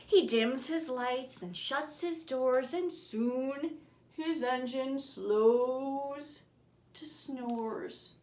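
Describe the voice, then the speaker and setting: A woman reading a rhyming children's bedtime storybook aloud in an expressive, theatrical way, with dramatic shifts in volume. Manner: expressive, theatrical, swinging from booming to hushed whispers; slow, deliberate, rhythmic delivery of rhymes. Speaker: author reading her own picture book aloud. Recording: roomy, echoing room sound.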